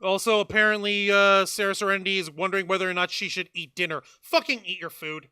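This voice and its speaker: A man with a high, piercing voice hosts a rowdy online chat show. He jokes loudly and swears often. His exasperation comes through clearly. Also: little pitch movement, fast. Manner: loud, swearing, exasperated joking. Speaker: podcast host. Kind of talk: casual comedic chat.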